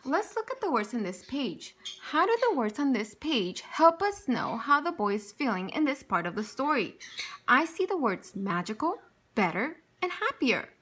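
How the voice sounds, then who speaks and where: A woman teacher reading a picture book aloud to children, telling it expressively and stopping to ask them questions. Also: fairly high pitch. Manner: expressive storytelling with questions for young listeners.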